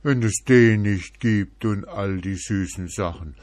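A man's voice: slow pace, darkish tone, no echo. A man voicing a grumbling bear who insists on Christmas cake and sweets in a storybook. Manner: grumbling, rumbling.

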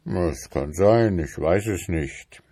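A man's voice: sits low, slow, mellow, breathy edge, heavily acted. Storybook beetle husband, weary and curt, read by a man. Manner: tired, curt answers. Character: weary, put-upon male beetle husband.

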